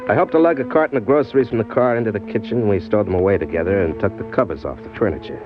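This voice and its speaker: An actor playing a streetwise, boastful American ex-convict drifter who narrates in slangy hardboiled style in an old radio crime drama. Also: male voice, on the low side, rapid-fire, lightly acted. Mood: smitten, narrating. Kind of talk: old-time radio crime drama acting, first-person narration and dialogue. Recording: dull vintage radio recording, music behind.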